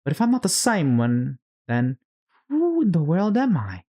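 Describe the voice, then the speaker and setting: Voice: medium pitch, wildly swooping pitch, unhurried, warm tone, voice pushed up, heavily acted. A storyteller voicing a dramatic, bewildered young girl who frets and scolds herself in a storybook. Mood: confused, worried. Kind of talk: storybook character read-aloud.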